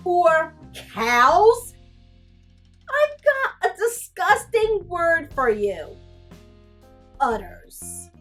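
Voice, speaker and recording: A woman reading a storybook aloud as a sassy, easily grossed-out young female cartoon character, with exaggerated, drawn-out disdain. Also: extremely high pitch, very animated, fairly bright tone, faint music behind, full character voice. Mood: disgusted. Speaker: teacher reading a picture book aloud. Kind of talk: animated children's storybook read-aloud.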